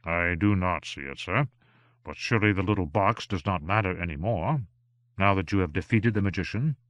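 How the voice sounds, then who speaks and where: A soft-spoken, anxious manservant in an audiobook, played by a man. He speaks low and quietly, choosing his words with careful, subdued politeness. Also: normal speed, dry recording. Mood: deferential.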